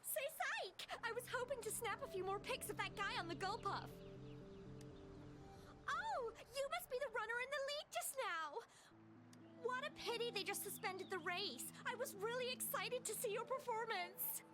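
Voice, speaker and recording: A woman playing a perky, excitable young photo enthusiast in a video game, bubbly, gushing and cheeky. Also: very high voice, moderate pace. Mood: bubbly, eager. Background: game music.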